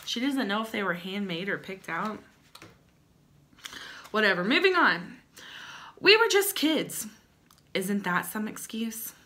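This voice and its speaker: A young woman hosting a vlog, giving dramatic comedic readings with wry asides in a theatrical, sardonic manner and emphatic pauses. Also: medium pitch, lively intonation, sharp tone. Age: young adult. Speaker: vlog host. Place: small plain-walled room at home.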